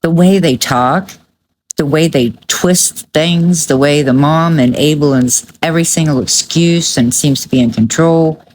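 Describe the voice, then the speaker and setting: A woman's voice: deep voice, measured pace, a little mellow, slight background noise, poor audio. A woman delivering conversational true crime commentary in a blunt, emphatic and judgmental way.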